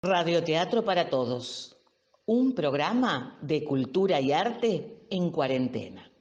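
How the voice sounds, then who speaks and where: Animated male announcer, dull recording. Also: on the high side, slow. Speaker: pre-recorded show announcer. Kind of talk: scripted radio jingle announcement.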